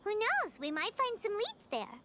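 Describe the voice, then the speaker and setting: A woman voicing a tiny, childlike female fairy companion in a video game, squeaky, cutesy and inquisitive. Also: huge pitch swings, normal speed, a little hiss or hum, full character voice. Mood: curious, eager. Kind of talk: video game character dialogue. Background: loud background game music.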